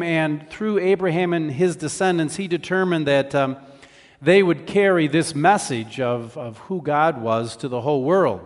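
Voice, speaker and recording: A man giving a conversational sermon as a preacher, in a measured, explanatory way. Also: a little high. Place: large church hall. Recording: reverberant hall sound.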